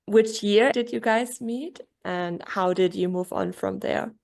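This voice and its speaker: A woman hosting a podcast, giving the introduction and asking long, carefully framed questions in a friendly, clear way. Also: medium pitch, a little slow, a little mellow. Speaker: podcast host.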